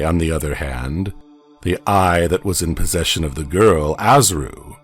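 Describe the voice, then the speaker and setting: Slow, deep-voiced man telling a dramatic tale. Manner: solemn, theatrical, deliberate. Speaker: narrator of an audio comic. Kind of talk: dramatic scripted storytelling.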